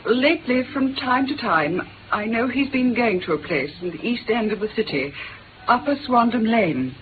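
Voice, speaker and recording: An actress playing a worried, genteel Victorian wife, polite and hesitant, in an old-time radio drama. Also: female voice, fairly high pitch, lively intonation, normal speed, close to an everyday voice. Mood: anxious. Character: anxious, genteel Victorian wife seeking help. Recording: noisy, tinny old radio recording.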